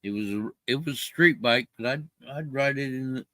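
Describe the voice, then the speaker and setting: A man's voice: medium pitch, normal speed, pushed and bright, no room sound. An older man chatting casually on a livestream, rambling with many fillers and restarts. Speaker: livestream co-host. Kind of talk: casual chat.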